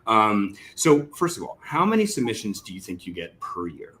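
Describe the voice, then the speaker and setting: A man's voice: on the low side, big pitch swings, normal speed, a bit bright. A man hosting a conversational interview, asking questions in a loose, informal way with plenty of hedging. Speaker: interview host, writer. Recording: clearly audible background noise.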